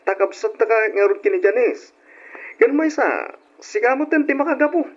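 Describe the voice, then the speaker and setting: On a muffled old radio recording, an eager young father in a family serial. His voice sits high and bounces about as he talks in an animated, coaxing way. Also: moderate pace. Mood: cheerful. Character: eager, cheerful brother-in-law and young father Greg. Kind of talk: old radio drama acting.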